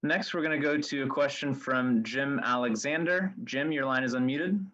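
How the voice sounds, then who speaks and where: A man moderates a press call, making short procedural announcements to hand over questions. His voice is mid-pitched and comes through slightly muffled, lo-fi conference audio. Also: normal speed, a bit bright.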